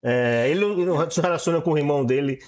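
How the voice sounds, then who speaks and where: A middle-aged Brazilian man with a Brazilian Portuguese accent, a guest writer talking in a casual conversation, animated and halting with many restarts. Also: fairly high pitch.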